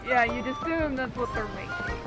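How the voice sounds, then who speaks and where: A woman's voice: sits high, wildly swooping pitch, normal speed. A woman giving casual, sarcastic and teasing commentary as she plays a video game. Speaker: gaming streamer. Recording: game music behind the voice. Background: video game music.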